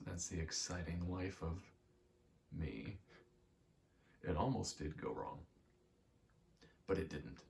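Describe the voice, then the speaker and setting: A man's voice: very deep voice, creaky, a little hiss or hum. A young man giving a casual, meandering ASMR ramble while gaming, often interrupting himself in an understated way. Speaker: ASMR creator.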